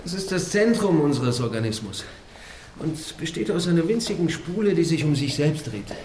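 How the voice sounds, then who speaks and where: A man's voice: fairly high pitch, lively intonation, normal speed, a little mellow, slight background noise, natural voice. An actor playing a polite, lovesick male robot in a radio drama, earnest, deferential and pleading. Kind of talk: German radio drama acting. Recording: music playing behind the voice.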